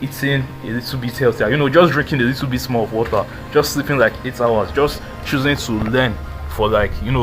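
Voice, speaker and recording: Fast-talking young man rambling advice. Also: medium pitch. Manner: rambling, slangy, lots of "like" and "you know". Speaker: vlogger. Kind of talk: casual advice monologue.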